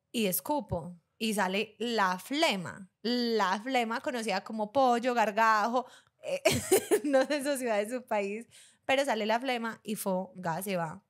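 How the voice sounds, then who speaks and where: Giggly woman, bright voice. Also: fairly high pitch. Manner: giggly, laughs often between lines. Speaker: podcast co-host. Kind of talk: casual chat.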